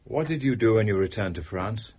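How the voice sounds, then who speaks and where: An actor playing a coolly superior detective, measured, dry and authoritative, in a radio drama. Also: male voice, on the low side, animated, a little mellow, breathy edge, muffled recording. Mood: cool questioning.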